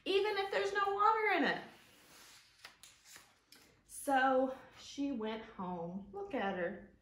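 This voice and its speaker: A woman in her thirties hosting a children's storytime, speaking in a gentle, sing-song, drawn-out way to young viewers. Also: high-pitched. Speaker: library storytime host. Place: living room, indoors, on a couch. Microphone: camera a few feet away. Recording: roomy echo from camera distance.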